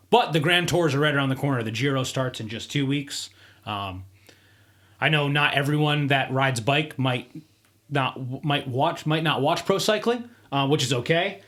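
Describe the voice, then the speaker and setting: A man in the host seat of a relaxed podcast conversation, his voice sitting at an ordinary middle pitch. He talks loosely and keeps tossing in "like" and "right?" as he goes. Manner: relaxed, many "like" and "right?" fillers. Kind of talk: casual chat.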